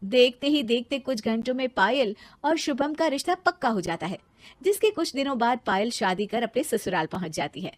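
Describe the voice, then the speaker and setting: A woman telling a cartoon story in a high, lively voice that swings up and down in pitch. She sounds animated and a little cheeky. The sound is dry and close to the mic. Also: normal speed, no echo. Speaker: narrator and lead voice.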